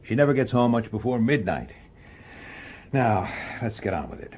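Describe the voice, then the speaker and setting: A low, husky, gruff detective. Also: male voice, very animated, normal speed, a little mellow. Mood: businesslike. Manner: blunt, colloquial, impatient. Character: gruff, irritable, world-weary male police detective. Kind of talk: old-time radio drama acting and narration.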